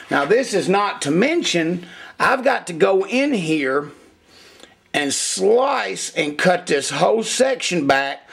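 A man with a gravelly, somewhat high voice talks through hands-on engine work at a workshop bench. He keeps it casual and plainspoken, the way a machinist explains his job in folksy shop talk. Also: a bit bright.

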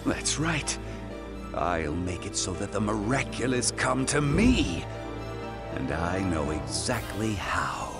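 An actor playing an obsessive, wealthy supervillain in an animated game, speaking in a formal, theatrical, deliberate way. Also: male voice, on the low side, over loud music. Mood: obsessive resolve. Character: obsessive, imperious, wealthy male supervillain with grand ambitions. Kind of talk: dramatic animated game cutscene acting.

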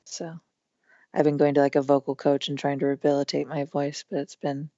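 A woman in her thirties with a hoarse, strained voice, chatting casually as a podcast guest with lots of fillers. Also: deep voice, little pitch movement, a little fast, warm tone, dry recording, highs cut off. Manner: chatty, rambling with many fillers. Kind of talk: casual conversation.

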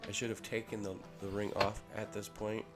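A man with a head cold, casually talking through a workshop ring build in a relaxed way with fillers. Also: fairly low pitch, normal speed. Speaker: maker showing a ring build. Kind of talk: casual workshop tutorial voice-over. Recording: voice-over with clear music behind.

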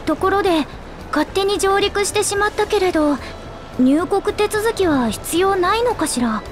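Curious young traveler. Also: female voice, extremely high pitch, lively intonation, measured pace, noisy background.

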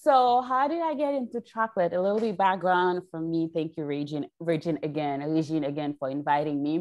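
A woman's voice: sits low, brisk, a little mellow. A woman in her forties from Haiti, a guest on a video call, explaining her work in an earnest storytelling way. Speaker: guest chocolate maker.

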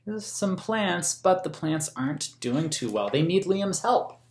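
An American man in his thirties reading a picture book aloud to children in a gentle, explanatory way. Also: high voice, expressive, unhurried. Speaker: museum story time host. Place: small plain room indoors. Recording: roomy indoor echo.

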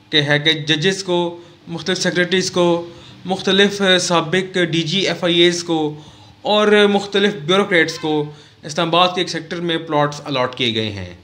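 A middle-aged man from Pakistan giving a formal current-affairs commentary vlog at a desk mic in a small studio, pausing often with "uh". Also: on the high side, bright, forceful tone. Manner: measured, formal, with frequent "uh" pauses. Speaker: vlogger and news commentator. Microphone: desk mic on a stand close to the mouth.

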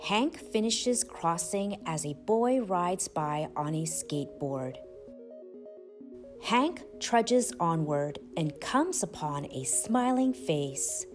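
Slow, expressive woman reading a picture book. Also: fairly low pitch, faint music behind. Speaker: storyteller and channel host. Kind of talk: children's picture book read-aloud.

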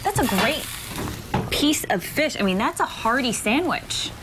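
A young woman hosting a TV cooking segment, chatty and upbeat, quick to react, in a studio kitchen on a lapel mic. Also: sits high, sing-song, very noisy. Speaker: TV lifestyle show host.

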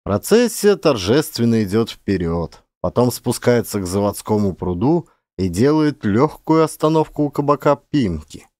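Dramatic guy narrating an audiobook, very expressive pitch, dry recording. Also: normal speed, few pauses, dry recording. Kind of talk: dramatic scripted literary narration.

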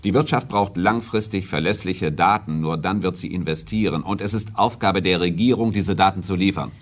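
An actor playing a stern, authoritative prime minister in a radio play, speaking formally and deliberately, as if lecturing. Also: male voice, low-pitched, lightly acted. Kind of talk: German radio play acting.

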